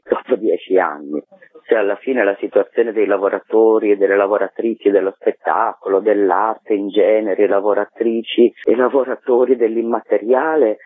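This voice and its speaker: A man's voice: medium pitch, breathy edge. A man speaking spontaneously as an interview guest, emphatic and repeating words for stress. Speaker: guest, performing arts worker. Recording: thin phone line, roomy echo.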